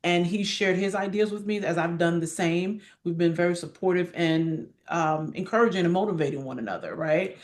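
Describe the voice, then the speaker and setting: A woman's voice: on the low side, normal speed, hardly any pauses. A woman giving candid, encouraging interview answers in a conversational way, repeating points for emphasis. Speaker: arts guest, director and actress.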